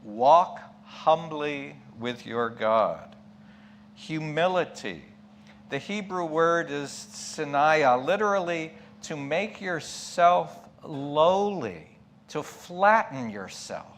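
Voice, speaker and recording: A man delivers a reflective sermon in a fairly high voice, taking his time and leaning on key words. He poses questions and answers them with short asides. A noticeable hum runs behind him. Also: animated, slow pace, fairly bright tone. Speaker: lay preacher giving a sermon. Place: church pulpit. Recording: clear background hum and noise.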